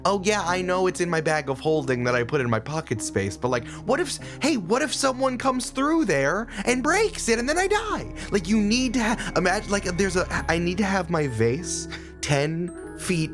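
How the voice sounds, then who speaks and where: A man in casual, improvised chat as a podcast guest, riffing in a rambling, animated way. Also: high-pitched, moderate pace, over music.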